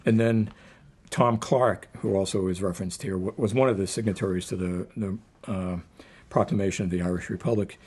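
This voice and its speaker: Husky, low-voiced man at a poetry reading. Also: steady tone, fairly quick, darkish tone. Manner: rambling, self-deprecating. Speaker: poet introducing and reading own poem. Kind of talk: informal live poetry reading introduction with anecdotes.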